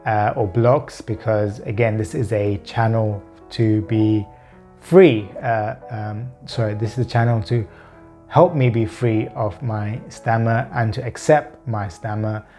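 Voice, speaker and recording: A man's voice: lowish voice, steady tone, measured pace, mellow, soft background music. A man in his thirties talking to camera in a personal vlog, earnest and open, stammering with repeated words and blocks left unedited. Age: 30s. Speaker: vlogger.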